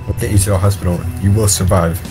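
A man's voice: sits low, fairly even intonation, fast talker, over music, close to an everyday voice. A man voicing an earnest, caring, pleading apple in an amateur visual novel read-aloud. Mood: worried, loving.

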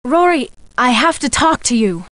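Lovesick soap leading lady, high pitch, pleading, melodramatic, game dialogue, very noisy recording. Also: very animated, normal speed. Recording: heavy noise behind the voice.

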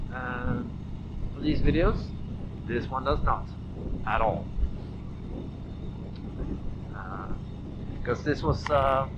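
Slow-talking man over loud road noise. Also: a little high. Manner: hesitant, halting, thinking aloud. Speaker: motovlogger. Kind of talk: casual rambling vlog while riding a motorcycle. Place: outdoors on a moving motorcycle on a road. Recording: muffled helmet audio, loud wind and engine noise.

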